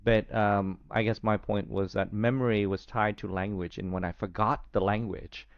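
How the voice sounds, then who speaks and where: A low-pitched man in his fifties with an American accent tells his story slowly, stopping often on fillers and restarting his sentences. The sound is dull and muffled, close and dry, with no room around it. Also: fairly even intonation, no echo. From Vietnam. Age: 50s. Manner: hesitant, many fillers and restarts, light laughs. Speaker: podcast guest. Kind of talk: reflective storytelling interview.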